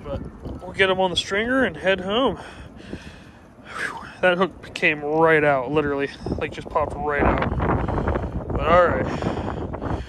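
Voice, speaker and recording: A man's voice: fairly high pitch, takes it slowly. A man in his thirties giving casual fishing vlog commentary outdoors. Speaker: fishing channel host. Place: outdoors on a windy lakeshore. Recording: loud wind noise on camera mic.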